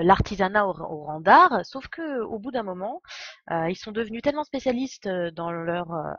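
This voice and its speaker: A woman's voice: medium pitch, no echo, lo-fi sound. A woman streaming a game with casual, enthusiastic and digressive live commentary. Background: game music.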